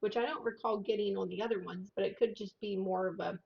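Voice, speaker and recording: A woman talks quietly and haltingly about the whiskeys she tastes, trailing off and starting over. Her voice sits at an ordinary pitch. It comes through a dull, lo-fi microphone hanging above her. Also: fairly even intonation, creaky. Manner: soft-spoken, hesitant, many fillers. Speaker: co-host of a whiskey tasting livestream. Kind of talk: casual tasting chat. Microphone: overhead microphone above the table. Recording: dull lo-fi overhead mic.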